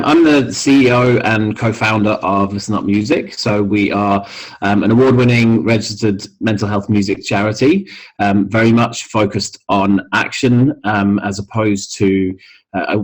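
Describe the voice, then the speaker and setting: A man's voice: on the low side, low-quality recording. Mild Scottish-tinged man on a video call. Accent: mixed international, mild Scottish. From Scotland. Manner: relaxed, many ums and restarts. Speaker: charity CEO, interview guest. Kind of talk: casual video call interview.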